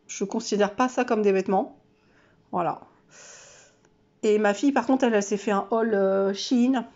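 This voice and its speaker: A woman's voice: medium pitch, steady tone, moderate pace, a little mellow, muffled recording. A woman vlogger with a stuffy, nasal voice from a cold, chatting casually and self-mockingly through her monthly challenges with lots of fillers.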